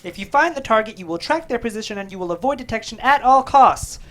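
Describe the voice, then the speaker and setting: A stern military commander, played by a woman at a table read. The voice is fairly high and very quick, issuing clipped, authoritative orders. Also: lively intonation, lightly acted. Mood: stern, commanding. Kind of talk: dramatic script table read.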